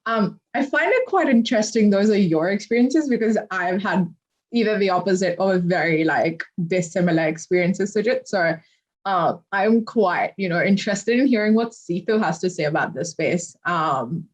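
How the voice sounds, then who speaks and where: A woman's voice: medium pitch, animated, normal speed. A woman moderating a panel discussion, summarizing and encouraging the speakers and handing over questions, with many ums.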